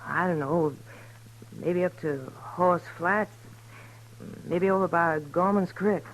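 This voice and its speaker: A woman's voice: fairly low pitch, fairly even intonation, takes it slowly, low-quality recording. A woman playing a hard, anxious young frontier sister with a rural American accent in a 1950s radio western. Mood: worried.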